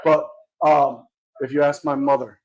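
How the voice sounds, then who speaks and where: A man's voice: fairly high pitch, level tone, unhurried, darkish tone. A man guest at a cigar shop podcast, telling his story in an easygoing, chuckling way. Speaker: guest, cigar brand owner. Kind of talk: casual interview storytelling.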